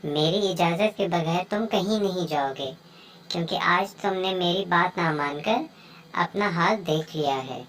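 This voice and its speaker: A woman's voice: on the low side, unhurried, recorded from a distance, lightly acted. A woman voicing a caring but strict mother bird in a children's moral story cartoon. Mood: scolding.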